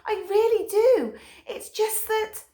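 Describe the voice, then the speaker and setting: A woman voicing a calm, level-headed male dog who coaxes his friend along, in a roomy echo. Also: very high voice, slow, pitched above the performer's own voice, exaggerated voice. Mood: coaxing. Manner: coaxing, reasonable.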